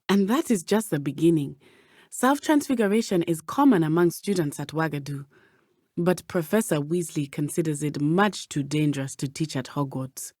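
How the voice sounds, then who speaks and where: A young woman playing a brave, principled teenage witch student in a video game, with formal, measured phrasing. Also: on the low side, a little mellow, no echo, studio quality. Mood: earnest, explaining.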